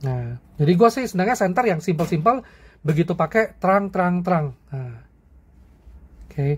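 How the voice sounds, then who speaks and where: A man's voice: high voice, animated, normal speed, slightly rough. Chatty man reviewing flashlights. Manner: chatty, slangy, frequent "ya" and "teman-teman". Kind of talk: casual product review vlog.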